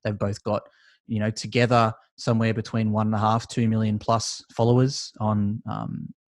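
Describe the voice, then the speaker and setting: An Australian man in his thirties, a podcast guest talking in a relaxed, rambling and friendly way with many filler words. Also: on the low side, moderate pace, no room sound. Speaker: guest, esports business founder. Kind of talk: casual interview chat.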